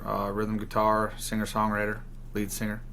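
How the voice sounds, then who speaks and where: A man's voice: fairly low pitch, completely flat delivery, moderate pace, vocal fry, a bit bright. A man, a band singer, chatting casually as an interview guest. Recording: faint hum and noise.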